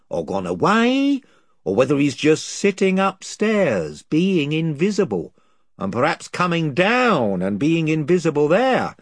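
Guy putting on a folksy rambling chief voice, higher than his own, swoops all over, kinda husky. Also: dry recording, clean studio sound. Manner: rambling, long-winded, folksy. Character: fussy rustic male chief of invisible creatures. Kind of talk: audiobook character voice.

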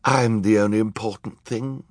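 A man's voice: on the low side, big pitch swings, unhurried, dry recording, clean studio sound, pitched above the performer's own voice. A man playing a vain, self-important villain in an audiobook, pompous and grumbling. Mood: insistent, smug. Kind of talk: audiobook character acting.

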